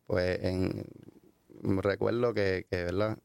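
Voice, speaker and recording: A Puerto Rican man telling his life story as an interview guest, halting with many fillers and restarts. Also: low voice, flat delivery, creaky, a little mellow, smooth, no room sound. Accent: Puerto Rican Spanish. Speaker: guest giving a testimony. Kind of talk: hesitant conversational storytelling.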